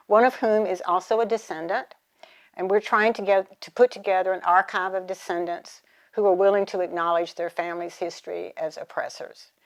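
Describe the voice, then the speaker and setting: A woman's voice: medium pitch, gravelly. An American woman in her seventies or older, from the South, giving reflective personal testimony in articulate, formal wording. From southern United States. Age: 70s or older.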